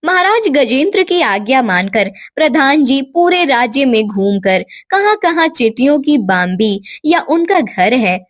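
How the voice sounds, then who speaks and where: A woman's voice: sits high, big pitch swings, measured pace, few pauses, no room sound. A woman giving scripted narration for a children's moral story.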